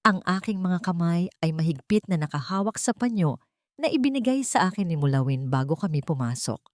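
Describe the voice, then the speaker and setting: Woman narrator for an audio drama, low voice, dry close booth sound, earnest and dramatic. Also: lively intonation, brisk, a little mellow, dry recording. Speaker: storyteller.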